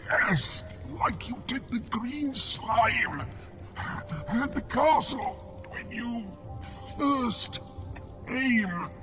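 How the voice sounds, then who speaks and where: A man's voice: extremely high pitch, animated, very slow, pushed and bright, faint music behind, exaggerated voice. A man playing a wily, urgent fire demon in an audio drama. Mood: strained urging.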